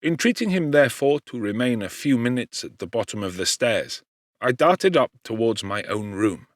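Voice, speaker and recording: A man reading literary narration as an audiobook actor, in an expressive, measured and theatrical manner. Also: medium pitch, no echo, studio quality.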